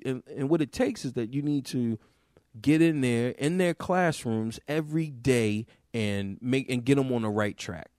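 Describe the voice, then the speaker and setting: A man from Baltimore hosting his own podcast, talking casually in a loose monologue that repeats and restarts and climbs in pitch when he presses a point. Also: darkish tone, no room sound, clean studio sound. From Baltimore, USA. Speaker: podcast host, teacher.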